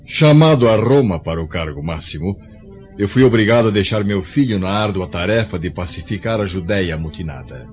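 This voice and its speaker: An actor playing an authoritative, courteous Roman emperor in a radio drama, stately and formal. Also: male voice, deep voice, expressive, a little fast, a little mellow. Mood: stately, confiding. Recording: dull lo-fi recording, music behind.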